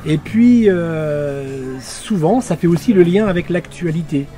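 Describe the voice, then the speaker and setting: A man who works as a cultural development officer, explaining his work in an earnest, clear way during an interview. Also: a little high, lively intonation, measured pace, dark tone.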